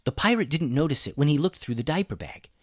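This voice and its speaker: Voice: mid-range voice, lively intonation, quick pace, dry recording, clean studio sound, voice pushed up, lightly acted. A storyteller voicing an eager, earnest young boy on an adventure in a children's story. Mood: hopeful.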